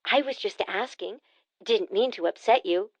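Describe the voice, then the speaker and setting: A woman voicing a brisk, teasing sister. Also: mid-range voice, no echo, muffled recording, close to an everyday voice. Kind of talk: audiobook character voice.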